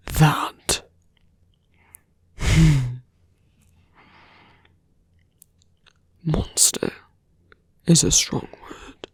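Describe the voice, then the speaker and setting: A suave male villain, acted out by a performer for an intimate ASMR roleplay. He speaks very slowly and deliberately, with heavy gravel and breath in the voice, often dropping close to a whisper. The sound is dry and close, and his manner is teasing, condescending and quietly menacing. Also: mid-range voice, no room sound, heavily acted. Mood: smug, menacing.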